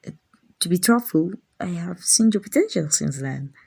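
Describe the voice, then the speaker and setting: A woman voicing a gentle, doting, slightly clumsy guardian angel in a soft-spoken ASMR comfort roleplay, affectionate, hesitant and apologetic. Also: fairly low pitch, animated, normal speed, creaky, slightly rough, full character voice. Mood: reassuring, tender.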